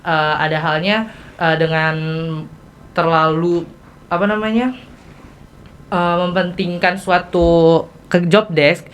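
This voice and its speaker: Student podcast host: teen girl, Jakarta accent, lowish pitch, chatty but stumbling delivery. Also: steady tone, slight background noise. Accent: Jakarta Indonesian. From Indonesia. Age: teens. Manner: halting, hesitant delivery with repeated self-interruptions. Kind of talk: casual conversational interview.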